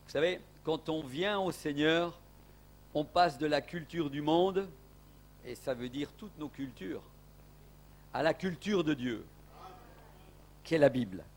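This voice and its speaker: A man's voice: on the high side, animated, measured pace, pauses often, a little hiss or hum. A man from Portugal preaching a sermon as an evangelist in a church, emphatic, with rising exclamations.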